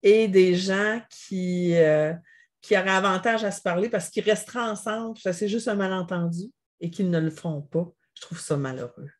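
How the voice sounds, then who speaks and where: A woman with a Québécois accent, a family business mediator explaining as an interview guest in a measured, reflective way. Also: fairly low pitch. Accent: Québécois French. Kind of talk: interview answers.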